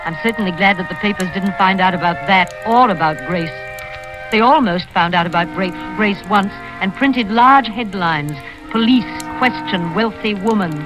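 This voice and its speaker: Actress as genteel rich spinster, composed and polite, telling a dark tale on old radio, music underneath. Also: female voice, medium pitch, close to an everyday voice, poor audio. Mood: confiding. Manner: polite, measured, smoothing things over. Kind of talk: 1940s radio drama acting and narration.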